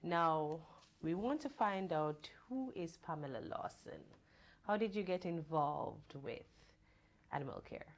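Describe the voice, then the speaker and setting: Low-voiced woman TV interview host. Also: lively intonation. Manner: measured, brief prompts. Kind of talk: TV interview hosting. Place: TV news studio.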